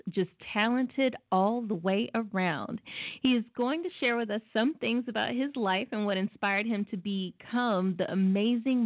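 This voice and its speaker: A woman's voice: medium pitch, no echo. Woman, talk show host, upbeat, affectionate, dry tinny phone audio. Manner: upbeat, calls listeners "loves". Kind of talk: hosting an online talk show interview.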